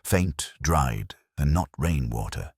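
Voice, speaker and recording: A man's voice: rumbling low, lively intonation, slow pace, slightly rough, dry recording, clean studio sound. A man narrating a noir detective story in a wry, hard-boiled way. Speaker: audiobook narrator. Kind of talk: scripted first-person noir detective narration.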